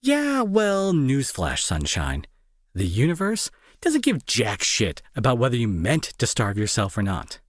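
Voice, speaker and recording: A husky young guy acted out by a man plays the gruff bully who cares more than he admits. His pitch swings widely as he scolds the listener with exasperated sarcasm, in a close, dry audio roleplay. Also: huge pitch swings, a little slow, dry recording. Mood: exasperated, scolding. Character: gruff young male bully, secretly worried and protective. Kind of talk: intimate audio roleplay acting, addressed to listener.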